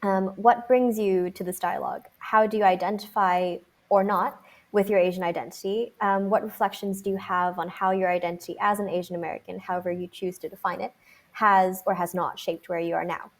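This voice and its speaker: A woman's voice: mid-range voice, fairly even intonation, fairly quick. A young woman from Singapore moderating a panel discussion over a video call, reflective, with frequent um fillers.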